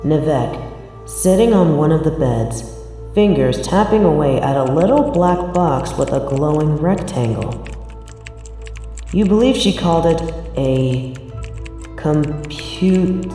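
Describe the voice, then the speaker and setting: Woman narrator telling a story to "you", soft dark tone, tons of echo, music behind. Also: low-pitched, lively intonation, slow pace, long pauses. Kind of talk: scripted second-person story narration. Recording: very echoey, long reverb, music behind.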